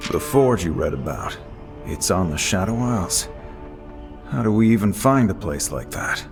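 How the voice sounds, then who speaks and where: Deep-voiced questioning hero. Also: male voice, very animated, normal speed, faint music behind. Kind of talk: dramatic video game acting.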